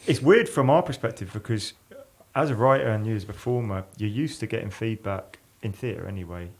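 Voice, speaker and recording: Male podcast host, lowish pitch, rapid casual delivery.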